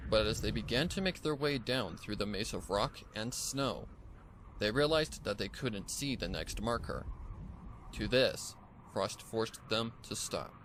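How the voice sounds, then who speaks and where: A man narrating a dark fantasy story in a dramatic, scripted storytelling style. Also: on the low side, expressive, measured pace, slight background noise, poor audio. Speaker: fan fiction narrator. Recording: music under the voice. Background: background music.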